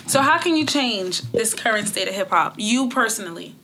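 A woman co-hosting a podcast, asking questions in relaxed conversation. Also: medium pitch, normal speed, slight background noise. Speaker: podcast co-host. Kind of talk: casual interview chat.

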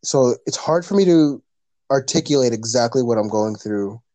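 A man's voice: mid-range voice, lively intonation, normal speed, dark tone, dry recording. A man, talking earnestly as a podcast guest in a rambling, casual way with many fillers. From Canada. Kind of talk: casual conversation.

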